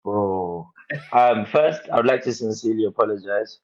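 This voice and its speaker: A man's voice: fairly low pitch, normal speed, muffled recording. A man who grew up in Zimbabwe, a guest on a podcast, chatting casually and teasing the hosts. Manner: joking, playful teasing. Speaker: guest musician.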